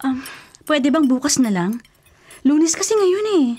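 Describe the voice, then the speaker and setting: An actress playing a stubborn, scolding, superstitious elder sister in a radio drama. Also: female voice, sits high, sing-song, measured pace, warm tone. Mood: firm, evasive. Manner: insistent, scolding.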